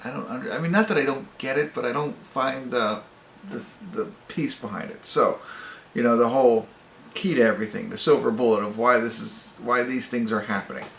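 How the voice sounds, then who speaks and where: A man hosting a casual, unscripted movie review, talking in a halting, rambling way and often correcting himself. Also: mid-range voice, vocal fry, fairly bright tone. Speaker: movie review channel host. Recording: echoey room with faint noise.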